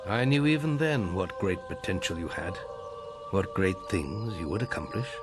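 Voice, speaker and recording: An actor playing a grave older guild master and disappointed mentor, solemn and earnest in a dramatic video game style. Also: male voice, low voice, expressive, moderate pace, over loud music. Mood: sorrowful, resolute. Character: grave old male guildmaster, disappointed mentor pleading for peace.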